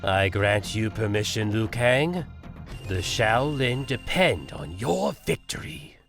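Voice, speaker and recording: A man's voice: on the low side, animated, slow pace, a bit bright, faint music behind, exaggerated voice. A man playing a solemn old Shaolin master, grave and formal, in a comic dub.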